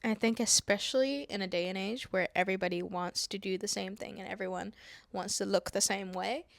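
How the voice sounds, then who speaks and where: A young woman from Indonesia chatting calmly to camera, soft-spoken with whispery asides and self-mocking laughs. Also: medium pitch, fairly quick, vocal fry, no room sound. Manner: playful, laughing at herself. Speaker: vlogger. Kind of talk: calm casual chat to camera. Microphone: wireless clip-on mic.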